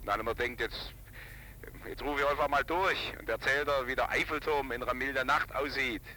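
A breezy, teasing brother acted by a man chats on the phone in a radio play. His voice is very sharp and bright at a middle pitch, flippant in manner, with some background hiss. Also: brisk, natural voice, rough recording. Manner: chatty, flippant. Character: breezy, teasing brother phoning late from abroad. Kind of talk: 1980s radio drama acting. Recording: sharp sound with faint hiss.

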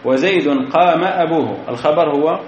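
A man's voice: fairly high pitch, moderate pace, a little hiss or hum. Man, grammar teacher, methodical, repeats himself, long hall reverb, dull lo-fi sound. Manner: methodical, repeats key phrases, quizzes students. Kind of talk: classroom grammar lecture. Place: lecture hall. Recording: echoey hall, dull lo-fi recording.

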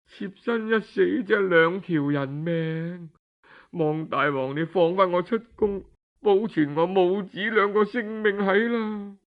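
A man's voice: high voice, slow pace. A man voicing a tearful, scheming young royal concubine who pleads in an aggrieved way with a Cantonese accent, in a told historical story. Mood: tearful pleading. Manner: plaintive, pleading. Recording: dull lo-fi audio.

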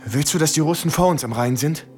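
An actor playing an anxious, pleading young American soldier in a radio drama, narrating and speaking in a tense way. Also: male voice, fairly high pitch, quick pace, darkish tone, lightly acted. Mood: urgent, persuading. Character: cornered young American soldier John, anxious, pleading, posing as German officer. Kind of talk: radio drama acting and first-person narration. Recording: studio radio play with music underneath.

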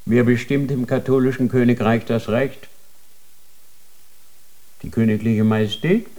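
A man's voice: mid-range voice, steady tone, measured pace, dark tone, natural voice, rough recording. An actor playing a stern male inquisitor of a holy tribunal, interrogating in a cold, formal manner in a radio drama.

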